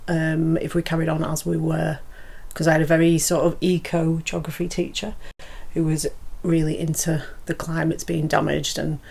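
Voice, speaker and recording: A woman's voice: low voice, completely flat delivery, normal speed, gravelly, hardly any pauses, a little mellow, husky. A woman in her forties, a hospital manager, giving frank interview answers with a slightly exasperated tone. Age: 40s.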